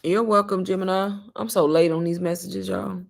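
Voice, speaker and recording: A woman hosting a casual livestream, pitching an online course and taking viewers' questions in a chatty, repetitive way while calling her viewers "y'all.". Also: steady tone, moderate pace, vocal fry. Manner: chatty, repeats herself, addresses viewers as "y'all".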